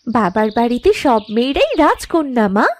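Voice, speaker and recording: A lazy young village daughter in a cartoon. Her voice is high and very animated. She complains in a whiny, dramatic way, like a spoiled girl avoiding chores. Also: normal speed. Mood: dreamy, cheeky.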